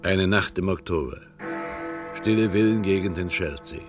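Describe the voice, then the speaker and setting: A man's voice: sits low, normal speed, natural voice. A man playing the storyteller of a spooky comedy mystery, narrating a scripted radio play over music. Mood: atmospheric, suspenseful. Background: plucked guitar music.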